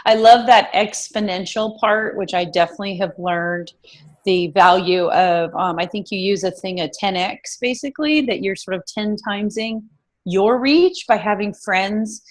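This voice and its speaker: A woman's voice: mid-range voice, moderate pace. A woman hosting a casual interview, chatty and full of fillers, with a slightly froggy voice. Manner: chatty, many fillers and restarts. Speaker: host.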